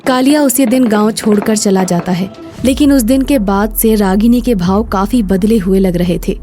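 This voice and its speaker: A woman's voice: medium pitch, moderate pace, warm tone, faint music behind. A woman narrating a scripted story as its storyteller.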